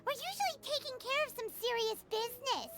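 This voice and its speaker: A woman's voice: extremely high pitch, brisk. A woman voicing a small, chatty, self-important fairy girl in a video game. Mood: chirpy. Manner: refers to self in third person, whiny and bubbly. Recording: game music under the voice.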